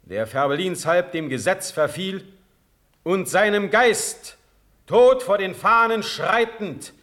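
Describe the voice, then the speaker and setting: A stern, magnanimous sovereign in a classical radio drama. He declaims verse very deliberately, with solemn authority, in a fairly high male register. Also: a bit bright, full character voice. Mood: solemn resolve. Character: stern, magnanimous ruling prince, measured and commanding.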